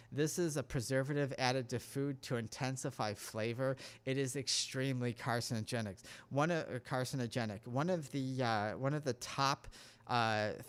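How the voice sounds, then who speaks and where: A man giving a casual talk-show monologue on health, earnest and preachy, rambling through his points. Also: mid-range voice. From United States.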